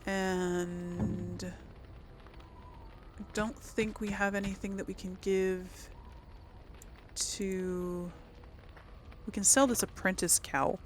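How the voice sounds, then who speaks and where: A woman's voice: mid-range voice, level tone, takes it slowly, long pauses, a little hiss or hum. A woman hosting a casual let's play, rambling and thinking aloud as she comments on the game. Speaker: gaming video host.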